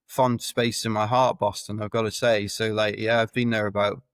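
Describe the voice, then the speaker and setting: A man's voice: fairly low pitch, level tone, normal speed, a bit bright, smooth, no room sound, studio quality. A man in his forties, a guest on a podcast, telling his story in a rambling way that keeps correcting itself. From Wales. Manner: rambling, self-correcting, chuckles while telling stories. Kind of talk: casual podcast interview.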